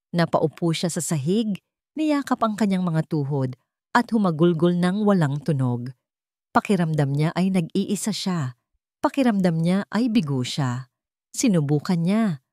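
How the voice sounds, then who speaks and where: Female story narrator, lower register, dry close sound, dramatic scripted read. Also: darkish tone, no room sound.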